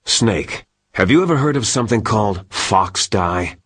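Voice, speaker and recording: An actor playing a calm, secretive older military adviser in a video game, husky and measured as he confides information. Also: on the low side, no echo. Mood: grave, confiding. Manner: measured, conspiratorial.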